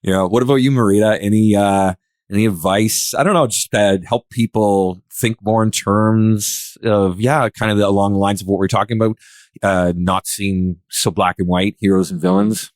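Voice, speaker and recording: A man with a fairly low voice hosts a relaxed panel chat. He is agreeable and conversational, recorded very dry without room echo. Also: normal speed.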